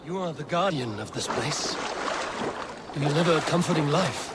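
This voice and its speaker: An actor playing an earnest, dogged Norse warrior in a video game, speaking in a grave and deliberate way. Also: male voice, on the high side, noisy background, close to an everyday voice. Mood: earnest, courteous.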